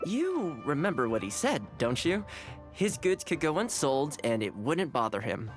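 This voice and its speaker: Composed young housekeeper with lively pitch. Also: male voice, unhurried, soft background music. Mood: composed, easygoing. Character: calm, shrewd young male housekeeper of a samurai clan. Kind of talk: video game voice acting.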